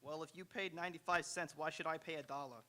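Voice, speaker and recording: Radio comedy sidekick male actor: piercing high voice, put-on Italian accent, broken English, cheeky schemer with a literal mind. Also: fairly even intonation, fast talker, bright, forceful tone. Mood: mocking. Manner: wisecracking, literal-minded. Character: cheeky, dim-witted comic male office assistant, wisecracking schemer. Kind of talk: 1930s comedy radio play acting. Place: auditorium stage. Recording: live stage radio play.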